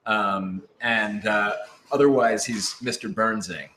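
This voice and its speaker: A man's voice: on the low side, steady tone, slow, bright, forceful tone. A man who works as a voice actor, chatting casually and playfully on a livestream with lots of exclamations. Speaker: voice actor answering fan questions. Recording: roomy, faint background noise.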